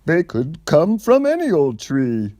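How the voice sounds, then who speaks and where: A man voicing a defensive, middle-aged male nursery owner in a storybook reading, with a high, indignant voice. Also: huge pitch swings, unhurried, dark tone, no echo, studio quality.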